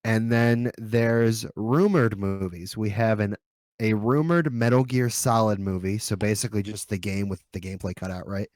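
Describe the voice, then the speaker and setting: A man's voice: lowish voice, normal speed, a little mellow, no echo, studio quality. A man hosting a podcast, chatting casually with a group. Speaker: podcast host.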